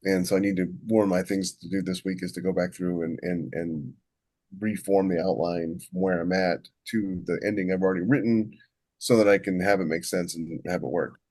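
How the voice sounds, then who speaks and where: A man with a fairly deep voice talks casually as a podcast co-host. He rambles as he explains his writing work, often repeating the first words of a phrase before carrying on. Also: fairly even intonation, normal speed, a little mellow. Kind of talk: casual shop talk about writing.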